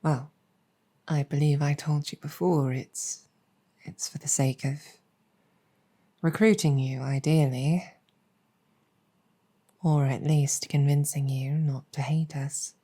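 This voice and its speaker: A woman voicing a weary, earnest demon general in an intimate audio roleplay, speaking reflectively with hesitant pauses. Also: low-pitched, steady tone, mellow, breathy edge, exaggerated voice. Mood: patient, explaining.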